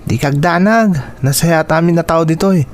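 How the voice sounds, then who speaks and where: A man's voice: fairly high pitch, moderate pace, close to an everyday voice. Man acting a friendly young Ilocano suitor. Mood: friendly, joking. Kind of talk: radio drama acting.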